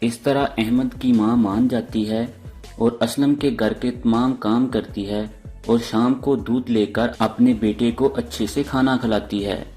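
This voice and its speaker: A man's voice: mid-range voice, fairly even intonation, measured pace, mellow, breathy edge, faint music behind. A man narrating a scripted moral story as storyteller.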